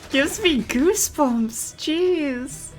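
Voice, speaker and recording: A woman's voice: high voice, expressive, drawn-out words. A woman giving casual, giggly gameplay commentary, exclaiming and reading game text aloud. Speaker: game streamer. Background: game music.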